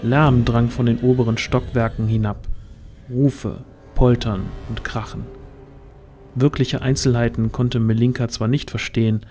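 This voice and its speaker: A man's voice: medium pitch, level tone, a little slow, darkish tone, soft background music. A man narrating a scripted fantasy audio drama.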